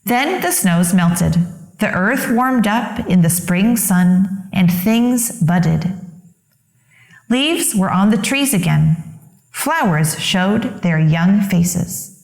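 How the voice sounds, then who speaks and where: A woman reading a children's picture book aloud as a storyteller, with deliberate, expressive phrasing. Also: medium pitch, gravelly. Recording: echoey room.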